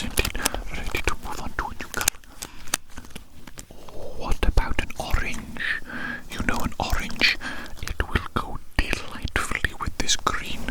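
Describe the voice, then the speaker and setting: A person whispering very close to the mic in a breathy, unvoiced ASMR roleplay ramble, softly repeating words and phrases. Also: rough recording. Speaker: ASMR artist playing an alien who draws on the listener's face. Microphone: very close microphone.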